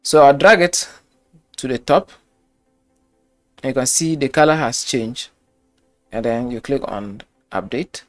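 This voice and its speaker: Slow, methodical man teaching web design. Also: mid-range voice, animated, faint music behind. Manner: slow step-by-step instruction, repeats phrases. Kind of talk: screen-recorded software tutorial.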